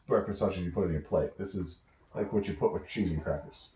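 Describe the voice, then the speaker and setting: A man improvising a bedtime story in a casual, halting way, pausing mid-sentence as he goes. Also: low voice, warm tone. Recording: roomy, echoey home recording.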